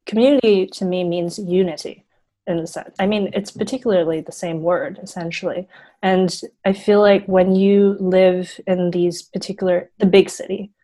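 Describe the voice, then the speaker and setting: Teen girl from Hong Kong, interview guest, earnest and filler-heavy, lowish voice. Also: fairly even intonation, moderate pace, dark tone. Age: teens. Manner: earnest, many fillers like "you know". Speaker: youth fund founder, guest. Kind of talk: interview answers.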